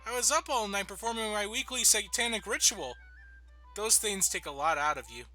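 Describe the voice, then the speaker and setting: A man doing a squeaky, eager cartoon sponge. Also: animated, moderate pace, sharp tone, exaggerated voice. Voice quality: high squeaky put-on voice. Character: cheery naive young male sea sponge fry cook. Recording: dull lo-fi recording with faint music.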